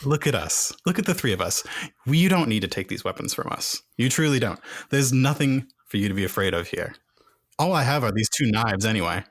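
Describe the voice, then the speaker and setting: A man's voice: medium pitch, lively intonation, brisk, close to an everyday voice. A man voicing a charming, self-assured female alien smuggler who coaxes people in a tabletop game. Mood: coolly persuasive. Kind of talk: tabletop roleplay acting.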